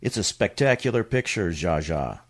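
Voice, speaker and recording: An actor playing a polished, courtly show host with formal, grand 1950s radio announcing. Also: male voice, sits low, animated, normal speed, dry recording, studio quality. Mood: courtly, promotional.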